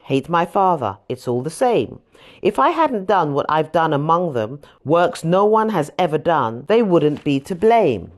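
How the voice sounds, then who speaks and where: Expressive woman reading scripture, lower-pitched and lively. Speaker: audiobook reader.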